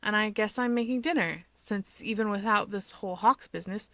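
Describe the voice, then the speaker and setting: A woman voicing a flustered, responsible schoolteacher sister with a brisk, nagging manner in a story reading. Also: medium pitch, fairly bright tone, dry recording, natural voice. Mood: exasperated.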